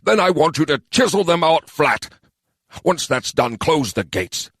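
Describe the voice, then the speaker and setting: A man's voice: fairly high pitch, very animated, unhurried, a bit bright, breathy edge, dry recording, studio quality, pitched above the performer's own voice, full character voice. A man voicing a scheming, irritable goblin mayor in an audiobook, grumbling and giving orders. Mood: scheming, commanding. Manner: grumbling, commanding. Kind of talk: audiobook character voice.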